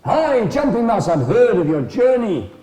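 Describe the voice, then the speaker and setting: An absent-minded old-tale wolf, yelping high above a normal speaking voice. He blurts and repeats himself, excitable and thankful, in an echoey room. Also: unhurried. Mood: startled, elated. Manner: exclaims and repeats himself in startled bursts. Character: vacant, forgetful grey wolf, excitable and grateful. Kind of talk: oral folktale storytelling. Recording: roomy, echoing space.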